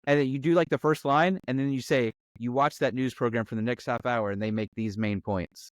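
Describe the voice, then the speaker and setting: A man's voice: mid-range voice, steady tone, fairly quick. A man with a mid-range voice explains his advice conversationally in a dry, echo-free recording. He talks as a panelist, laying out points and checking that listeners follow. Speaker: discussion panelist on a game master advice show. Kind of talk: casual explanatory chat.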